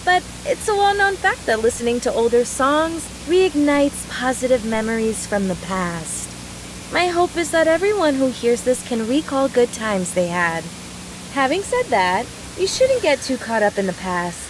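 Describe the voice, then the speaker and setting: A woman's voice: sits high, very animated, unhurried, slight background noise, rough recording. A woman playing a playful, affectionate, brash young woman soldier in a video game voice drama, with a casual teasing manner. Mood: casual, reflective.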